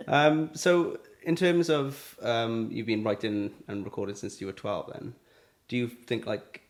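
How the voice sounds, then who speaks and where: A man's voice: mid-range voice, a little slow. Rambling male podcast host. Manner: friendly, rambling questions with fillers. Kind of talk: casual interview chat.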